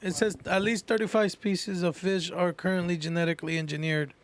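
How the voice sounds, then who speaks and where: A man's voice: high-pitched, level tone, brisk. A man chatting casually on a podcast, slangy and often questioning. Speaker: podcast co-host.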